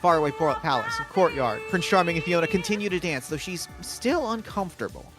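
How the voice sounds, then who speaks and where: A man narrating screenplay stage directions in a table read, brisk and matter-of-fact. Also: on the high side, expressive, over music.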